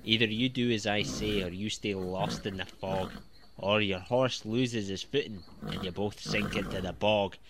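An actor playing a sly, mocking highwayman, taunting with false courtesy in an audio drama. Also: steady tone, normal speed, gravelly, noisy background. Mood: menacing. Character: sly, mocking male highwayman lurking in moorland fog.

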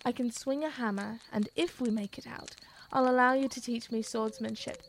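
A woman's voice: fairly high pitch, fairly even intonation, moderate pace, slight background noise, close to an everyday voice. A woman playing a plucky, practical young moorland guide in an audio drama, plain-spoken and resolute.

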